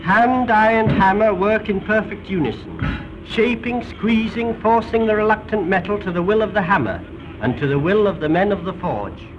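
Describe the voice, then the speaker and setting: A man's voice: sits high, moderate pace, dull audio, noisy background. A British man giving formal, precise scripted narration in the theatrical manner of a 1930s educational film, on an old film soundtrack. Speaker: documentary narrator.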